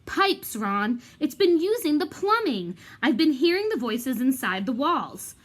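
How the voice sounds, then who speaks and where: A woman's voice: high voice, sing-song, unhurried, voice pushed up. A woman voicing an eager, earnest schoolboy wizard in a storybook, speaking urgently. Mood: excited.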